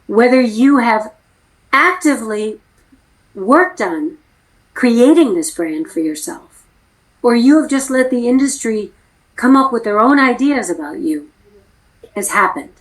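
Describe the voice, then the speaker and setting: A woman's voice: a little high, lively intonation, unhurried, long pauses, rough recording. A middle-aged American woman talking frankly and emphatically as an acting coach in a casual interview. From United States.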